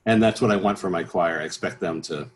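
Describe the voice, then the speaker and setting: A man speaking as an interview guest, explaining things conversationally as a choral professional, with halting, repeated phrases. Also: deep voice. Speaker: interview guest, professional bass singer and choir director.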